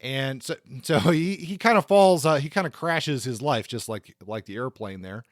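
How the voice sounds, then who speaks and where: Male podcast host, informal biographical narrator, conversational and halting, recorded dry. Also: medium pitch, expressive, dry recording.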